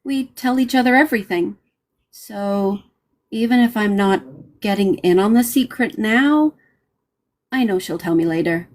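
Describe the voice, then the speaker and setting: A woman narrator reading a novel's first page aloud in an expressive, dramatic way. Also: medium pitch, measured pace. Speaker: audiobook narrator.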